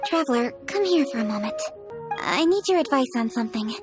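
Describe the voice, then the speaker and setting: A woman's voice: sits high, animated, a little fast, over music. A woman voicing a proud, composed yet self-conscious young noblewoman in a video game, formal and precise.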